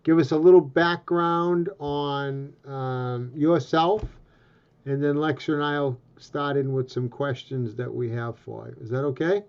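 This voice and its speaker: A man's voice: mid-range voice, steady tone, a little slow, a little mellow. Man on dull lo-fi call audio. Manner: rambling, stop-start questions. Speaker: host, interviewer. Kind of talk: casual interview on a video call.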